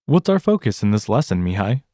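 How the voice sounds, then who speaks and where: A man with an American accent hosting a scripted language lesson podcast in an upbeat, expressive way. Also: lowish voice, fairly quick, no room sound, clean studio sound. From United States. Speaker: podcast host.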